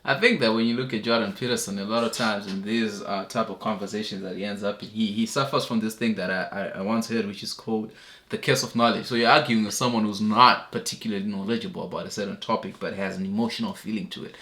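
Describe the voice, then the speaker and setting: A man hosting a reaction video, talking in a relaxed, chuckling, conversational way. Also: lowish voice, fast talker. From Zimbabwe. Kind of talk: casual commentary.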